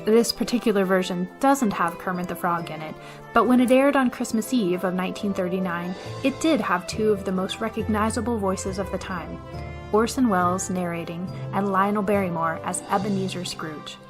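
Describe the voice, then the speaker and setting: A woman's voice: medium pitch, moderate pace. A woman giving scripted narration as host and storyteller of a radio theater show. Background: music.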